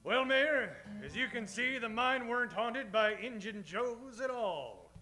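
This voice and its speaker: A man's voice: very high-pitched, animated, a little slow, bright, forceful tone, soft background music, full character voice. A man acting a boastful, gold-hungry cowboy hero in an old-time radio western comedy, with a hammy, declamatory delivery. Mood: cocky.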